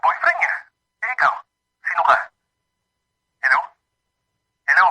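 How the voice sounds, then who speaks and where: A man's voice: high voice, wildly swooping pitch, measured pace, sharp tone, full character voice. An actor playing a young man who calls his girlfriend's phone and turns suspicious, speaking bluntly in a radio drama. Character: young man, rival boyfriend, curt on a phone call. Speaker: the other boyfriend calling on the phone. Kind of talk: Filipino radio drama acting.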